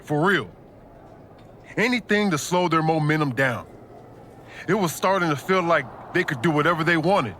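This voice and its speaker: A man's voice: on the high side, expressive, normal speed, pauses often, pushed and bright, a little hiss or hum. A man playing a laid-back, street-smart, disillusioned pro wrestler in a video game cutscene, talking in slang. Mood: wistful, jaded.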